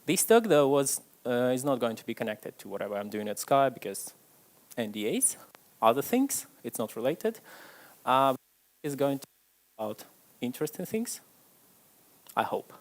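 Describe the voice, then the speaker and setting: Male conference speaker, chatty and self-deprecating, middle pitch, speaking to a live crowd. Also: very animated, normal speed, pauses often, poor audio. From Ukraine. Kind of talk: tech conference talk. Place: stage before a live audience.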